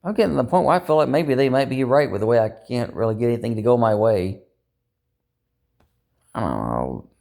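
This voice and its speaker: A man's voice: medium pitch, expressive, fairly quick, vocal fry, long pauses, a little mellow. A man rambling in a personal, confessional way, upset and dejected, venting with self-critical frustration and frequent swearing. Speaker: personal vlogger. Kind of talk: rambling confessional talk to camera.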